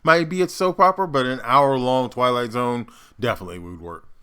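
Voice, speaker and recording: A man hosting a reaction video, musing aloud in a halting, casual way with fillers. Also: mid-range voice, sharp tone, clear, resonant tone.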